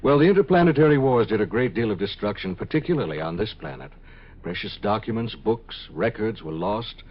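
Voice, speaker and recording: A calm man of science from the far future, in 1950s radio style. His voice is low and a little rough, matter-of-fact yet widely inflected. The old recording is muffled. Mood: explaining. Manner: measured, matter-of-fact, clinical. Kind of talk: 1950s radio drama acting.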